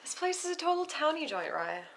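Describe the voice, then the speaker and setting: With faint noise around her, an actress plays a bright, forceful woman with a teasing, reckless streak. The delivery is natural and conversational, as at a table read of a film. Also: high-pitched, very animated, a little fast, full character voice. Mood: breezy, mischievous. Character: Penny, reckless, teasing woman, restless, craving connection. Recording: faintly noisy live room recording.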